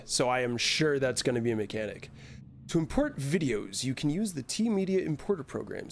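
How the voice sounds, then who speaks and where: A man's voice: medium pitch, gravelly, a little mellow, slight background noise. A young man streaming a horror game, joking and swearing as he talks to his chat and reads on-screen text aloud. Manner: jokey, profane, talks to chat. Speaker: gaming streamer. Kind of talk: casual gameplay commentary.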